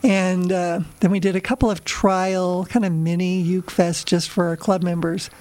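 An American woman with a low voice, talking casually and telling little anecdotes as an interview guest who organizes a club. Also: level tone, normal speed, dark tone. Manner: chatty, anecdotal.

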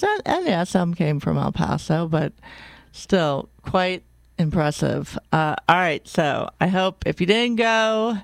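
Older lady radio host, deep smooth voice with some gravel, chatty and rambling, keeps fixing what she just said. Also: no room sound, studio quality. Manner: rambling and enthusiastic, often correcting herself. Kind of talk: casual radio chat. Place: radio studio.

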